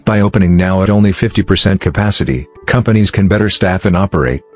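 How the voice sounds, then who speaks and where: A man reading a scripted explainer narration in a measured, formal way. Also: low voice. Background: background music.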